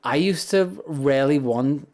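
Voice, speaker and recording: Deep, grumbly man streaming. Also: a little slow. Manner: rambling, chatty, soft-spoken without projecting. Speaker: livestreamer. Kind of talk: casual livestream chat. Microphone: high-gain streaming mic up close.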